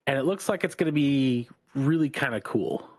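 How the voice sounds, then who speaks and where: A man co-hosting a podcast, chatting casually in a calm, even-tempered voice that is not raspy. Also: mid-range voice, moderate pace. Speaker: podcast co-host. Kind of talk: casual chat.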